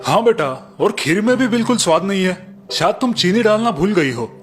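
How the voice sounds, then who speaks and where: Earnest cartoon family man played by a man, fairly high male voice, music underneath. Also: very animated, brisk. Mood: puzzled, reproving. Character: earnest Indian family men: puzzled father, husband. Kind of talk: cartoon moral-story voice acting.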